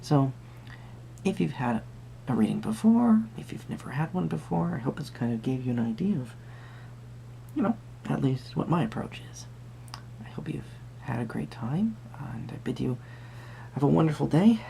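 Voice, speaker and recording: A performer playing a gentle, eccentric tarot card reader in an intimate roleplay, musing and hesitant, with a breathy voice that often drops to a whisper. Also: medium pitch, big pitch swings, moderate pace, a little hiss or hum. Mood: encouraging, mysterious. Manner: musing, hesitant, softly trailing off.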